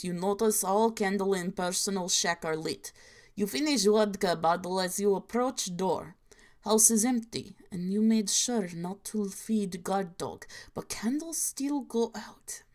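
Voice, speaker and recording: Mock-Russian narrator put on by a woman, inaccurate accent, clipped broken grammar, deadpan, storyteller. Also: medium pitch, natural voice. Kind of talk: reading parody horror stories aloud.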